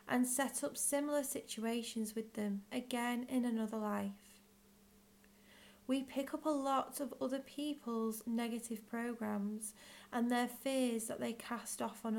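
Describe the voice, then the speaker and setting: A woman leading a guided visualisation in scripted narration, with measured, gentle phrasing and long pauses. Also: on the high side. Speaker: guided meditation leader.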